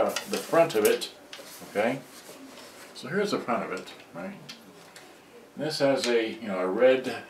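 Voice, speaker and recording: A middle-aged man thinking aloud in a halting, rambling way during a casual live repair demonstration. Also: medium pitch. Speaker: hobbyist electronics repairer on a livestream. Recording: distant mic, echoey room, background noise.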